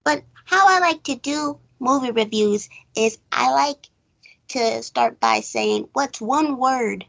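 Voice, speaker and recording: A woman's voice: sits high, big pitch swings, takes it slowly, creaky, fairly bright tone. A woman hosting a casual movie review chat, speaking emphatically and with strong opinions, often repeating phrases for stress. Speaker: host of a movie review show.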